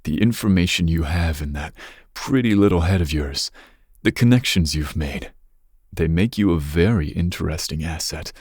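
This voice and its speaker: A man playing a dangerous, possessive young mafia boss's son in an intimate ASMR roleplay, speaking breathily with smooth, teasing menace. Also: lively intonation, normal speed, a little mellow, dry recording, studio quality. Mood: persuasive, calculating. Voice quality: breathy, husky low voice. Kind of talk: ASMR roleplay, intimate second-person monologue.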